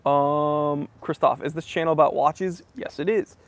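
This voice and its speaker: A man's voice: fairly high pitch, steady tone, measured pace, no echo. A man from New England hosting a casual livestream Q&A. He reads viewer comments aloud and answers them in a friendly, easygoing way. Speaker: host of a watch channel.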